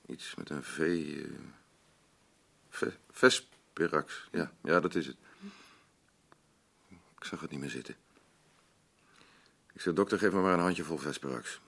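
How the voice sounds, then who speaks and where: An actor playing a bitter, defensive, grieving middle-aged husband in a naturalistic radio play, blunt and grumbling, with a gravelly voice. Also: male voice, rumbling low, a little slow, long pauses, a bit bright, full character voice. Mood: confessional. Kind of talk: naturalistic radio drama acting.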